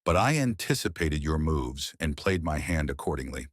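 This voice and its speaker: A man doing a sharp, sarcastic detective. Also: a bass voice, big pitch swings, normal speed, slightly rough, no echo, clean studio sound, exaggerated voice. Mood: probing, accusing. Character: shrewd, confident male detective in middle age. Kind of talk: audiobook character voice.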